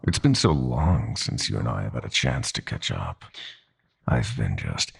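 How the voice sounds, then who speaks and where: A man playing a menacing, gloating god of nightmares in an audio drama, speaking in silky, mocking threats with theatrical slowness. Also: big pitch swings, exaggerated voice. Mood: mock-friendly. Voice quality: very deep, gravelly, breathy. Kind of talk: roleplay audio drama acting.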